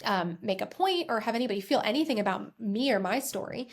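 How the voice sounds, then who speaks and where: A woman's voice: on the high side, lively intonation, fast talker. Candid American woman in her thirties. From United States. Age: 30s. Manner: conversational, self-reflective, emphatic repetitions. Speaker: podcast host and accountant. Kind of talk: candid personal storytelling on a podcast.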